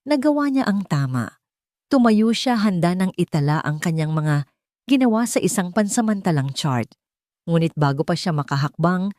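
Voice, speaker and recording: A woman narrating an audio drama in a measured, dramatic storytelling manner. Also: lowish voice, darkish tone, dry recording, studio quality.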